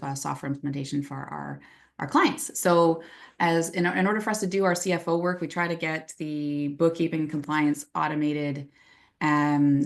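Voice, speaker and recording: Low gravelly Canadian woman. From western Canada. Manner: friendly, professional, upbeat. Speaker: guest accountant and firm owner. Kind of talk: webinar self-introduction.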